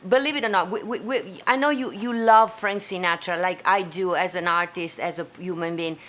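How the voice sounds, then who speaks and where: A woman from Italy with an Italian accent and Italian as her first language, hosting a live stream from home and speaking effusively, with halting repeats. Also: mid-range voice, a bit bright. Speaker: host. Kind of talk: warm live-stream chat.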